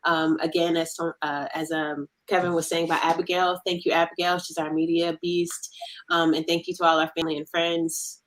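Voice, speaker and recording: Woman guest chatting, voice a bit on the low side. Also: flat delivery, moderate pace, poor audio. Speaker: interview guest, radio theater cast member. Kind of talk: casual chat.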